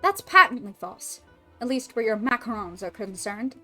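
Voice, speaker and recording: A woman voicing a composed female head chef with a put-on French accent, measured and teasing. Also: a little high, very animated, pushed and bright, faint music behind, heavily acted. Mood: cool.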